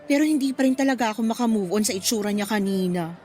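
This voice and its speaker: Woman acting feisty gossipy mistress, high voice, sassy, dramatic, Filipino radio drama, faint music behind. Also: fast talker, mellow, close to an everyday voice. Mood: wondering. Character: feisty, gossipy mistress venting to her fellow mistress.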